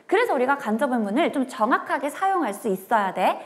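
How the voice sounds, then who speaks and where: High, lively woman teaching grammar. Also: fast, big-room sound. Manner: addresses students directly, shifts from casual to polite speech. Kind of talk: online grammar lecture.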